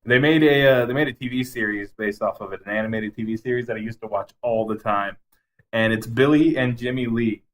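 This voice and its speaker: A man's voice: medium pitch, fairly quick. A man co-hosting a podcast, casually and enthusiastically rambling through his favorite game movies with some swearing. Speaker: podcast co-host.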